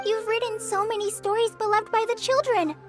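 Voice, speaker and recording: A woman voicing an eager young child in a video game, practical and quick to doubt. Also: very high voice, fairly even intonation, music in the background. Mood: reasoning. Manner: quick, doubting, matter-of-fact.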